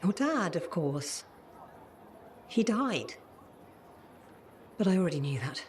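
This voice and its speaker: A woman's voice: lowish voice, big pitch swings, slow pace, a little mellow, a little hiss or hum. An actress playing a confused, grieving middle-aged mother in a video game, wistful and hesitant. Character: fragile, confused, grieving older widowed mother. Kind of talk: video game dramatic acting.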